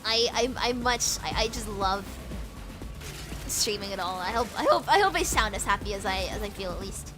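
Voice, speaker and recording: A woman's voice: medium pitch, lively intonation, moderate pace, music in the background. A young woman streaming a game in casual chat, bubbly and giggly, rambling and repeating her words, switching between British and American accents. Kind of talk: casual chat while gaming.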